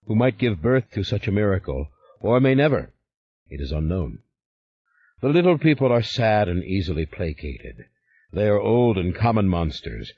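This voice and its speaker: A man's voice: fairly low pitch, darkish tone. A man voicing a stern, devout older father who speaks in a commanding, solemn way. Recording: slightly muffled recording.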